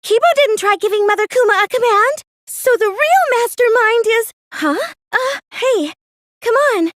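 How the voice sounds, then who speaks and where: A woman's voice: extremely high pitch, lively intonation, normal speed, a bit bright, dry recording. A woman voicing a meek, plain teenage girl with a secret scheming side in an anime video game dub, earnest and girlish in manner. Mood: defensive, flustered. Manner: hesitant and stammering when pressed, earnest, girlish.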